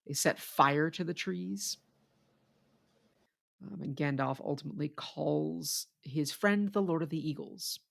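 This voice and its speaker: A podcast co-host explaining things in a measured way during a casual literary discussion. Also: medium pitch, creaky, fairly bright tone.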